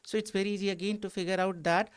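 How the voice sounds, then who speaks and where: A man's voice: high-pitched, little pitch movement, fast, smooth. A man with an Indian accent giving an explanatory, emphatic exam analysis as a teacher. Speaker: history teacher at exam coaching institute.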